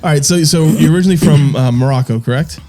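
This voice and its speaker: A man's voice: fairly high pitch, fast talker, mellow. A man hosting a show, asking questions in an informal, chummy and conversational way. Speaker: show host. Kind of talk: casual conversational interview.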